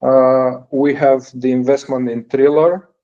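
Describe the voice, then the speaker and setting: Dark-voiced man presenting company financial results. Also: medium pitch, flat delivery, measured pace. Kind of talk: earnings call presentation. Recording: dull, lo-fi call audio.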